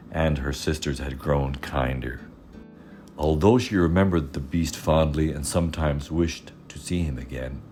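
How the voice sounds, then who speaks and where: Very deep male fairy-tale narrator. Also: slight background noise. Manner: measured, expressive storytelling. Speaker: storyteller.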